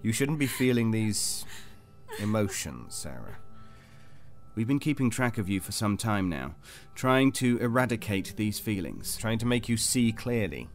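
Cold, clinical interrogator over music. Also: male voice, fairly low pitch, big pitch swings, measured pace. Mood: clinical, explaining. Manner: controlled, formal, detached, insistent. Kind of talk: audio drama acting. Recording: music under the voice.

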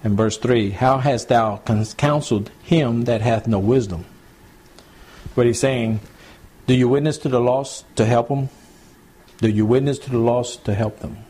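A man, a pastor teaching scripture to a small home church group in a relaxed, repetitive, conversational way. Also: mid-range voice, a little slow, breathy edge, rough recording. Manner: conversational, repeats points, addresses group as y'all. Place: small home gathering, recorded to CD.

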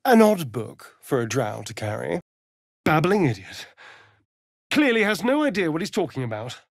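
A man's voice: on the high side, very animated, moderate pace, vocal fry, no echo, clean studio sound, full character voice. A man playing a sardonic, theatrical male vampire rogue in a video game, with an arch, drawling delivery. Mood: wry, disdainful. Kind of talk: video game character acting.

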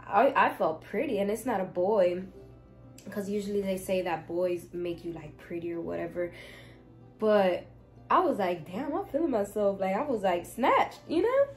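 A woman in her twenties from Miami, telling a casual storytime from her bedroom, animated, swinging from loud exclaiming to hushed asides. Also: mid-range voice, moderate pace, faint music behind. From Miami, United States. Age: 20s. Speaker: vlogger. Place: bedroom, indoors. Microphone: camera in front, seated.

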